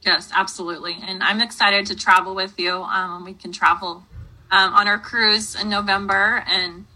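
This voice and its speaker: A woman in her late thirties hosts a relaxed interview chat, rambling along with lots of likes and ums. Her voice is bright and forceful with a breathy edge. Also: mid-range voice, moderate pace, rough recording. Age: 30s. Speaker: host, interviewer.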